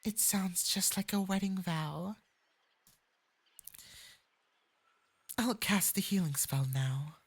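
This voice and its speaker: A performer with a light, breathy voice playing a clingy, lovestruck fox-spirit femboy mage in an intimate ASMR roleplay, coaxing sweetly and possessively. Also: mid-range voice, a little slow. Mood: tender, pleased. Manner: sweetly coaxing, possessive, swinging into eager excitement.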